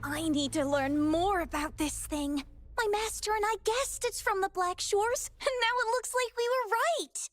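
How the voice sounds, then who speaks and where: A woman voicing an excitable, curious young apprentice girl in a video game, with an exclamatory, expressive delivery. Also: extremely high pitch, measured pace, no echo. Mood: eager, thoughtful. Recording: music behind the voice.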